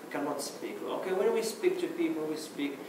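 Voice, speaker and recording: Moroccan guy giving a halting conference talk, lots of "okay", very echoey room. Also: a little high. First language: Arabic. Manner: hesitant, many restarts and "okay" fillers. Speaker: conference speaker. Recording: echoey hall, mic far off, noisy.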